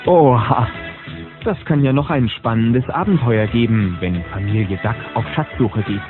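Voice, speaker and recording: A man narrating a children's cartoon audio drama as a lively storyteller. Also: mid-range voice, moderate pace, music in the background, natural voice. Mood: excited. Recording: dull cassette tape sound.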